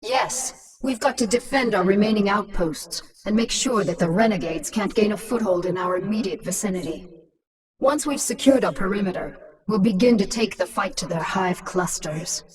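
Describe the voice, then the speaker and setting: A woman's voice: medium pitch, normal speed, distant mic, a crowd in the background, close to an everyday voice. A woman playing a ruthless alien swarm queen in a video game, commanding and scornful in manner. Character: ruthless alien swarm queen, transformed human, imperious and taunting.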